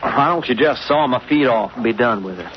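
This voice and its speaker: A man's voice: mid-range voice, lively intonation, a little fast, lightly acted. A man playing a feisty, indignant sidekick in a 1940s radio adventure, slangy, brash and forever complaining. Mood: indignant griping. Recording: muffled, very noisy vintage radio recording.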